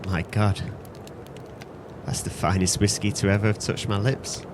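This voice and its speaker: An actor playing a drunken, trusting former sailor with a merry, sentimental, florid manner in an audio play. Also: male voice, on the low side, little pitch movement, normal speed, noisy background, close to an everyday voice. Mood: merry, grateful.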